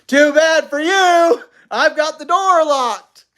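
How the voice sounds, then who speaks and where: Woman playing a loud, taunting, childish dad. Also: high voice, takes it slowly, pitched above the performer's own voice. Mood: gloating. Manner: loud, gloating, petulant. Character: rude, taunting, childishly bickering father.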